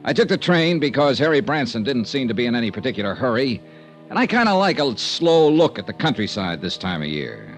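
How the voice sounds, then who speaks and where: An American actor playing a wry, wisecracking insurance investigator in a 1950s radio detective drama. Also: male voice, mid-range voice, big pitch swings, a little fast. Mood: brisk, businesslike. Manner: wisecracking, clipped. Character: wry, breezy male freelance insurance investigator. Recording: 1950s radio broadcast with music.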